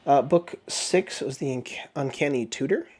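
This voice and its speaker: A man hosting a book review channel, chatting casually while sick with the flu, his voice a bit off, often clearing his throat. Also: medium pitch, unhurried, a little mellow. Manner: rambling, frequent throat clearing and filler words. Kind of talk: casual chat.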